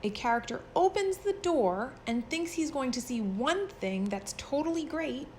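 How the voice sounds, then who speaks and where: A woman's voice: sits high, expressive, unhurried, a little mellow, a little hiss or hum. A woman teaching pre-kindergarten children, reading a story aloud expressively and explaining it in simple words.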